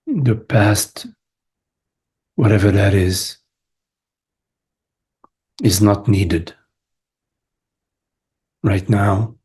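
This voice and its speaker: Man, guided meditation, very slow pace, breathy husky tone, gentle, spacious pauses. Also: lowish voice, fairly even intonation. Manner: unhurried, gentle, with long pauses between short phrases. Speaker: meditation teacher. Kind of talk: guided meditation, spiritual talk.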